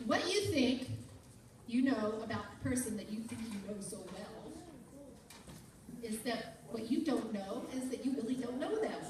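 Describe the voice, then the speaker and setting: A playful, teasing woman teaches a class, her voice pitched noticeably high. She is picked up from across a large, very echoey room. The chatter of students murmurs behind her. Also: normal speed, distant mic. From Burbank, California.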